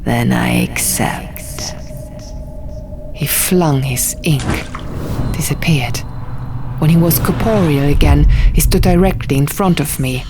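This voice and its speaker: Audiobook narrator: woman, low register, unhurried, hushed dramatic delivery, music bed underneath. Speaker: audiobook reader. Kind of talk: dramatic first-person story narration. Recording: music behind the voice.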